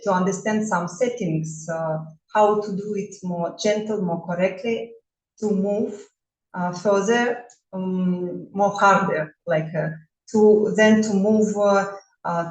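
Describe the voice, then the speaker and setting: Russian lady in her 40s, slow halting english, lots of uhs, far from the mic. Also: mid-range voice. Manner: halting non-native English, many fillers and restarts. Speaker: bodywork therapist. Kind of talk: self-introduction talk. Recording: roomy echo, mic at a distance.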